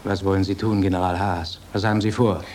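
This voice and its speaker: An actor playing an earnest, idealistic officer in a German radio drama, solemn and intense. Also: male voice, deep voice, little pitch movement, fast talker, a little mellow, slight background noise, natural voice. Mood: urgent. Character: earnest, idealistic officer, urgent man of conscience.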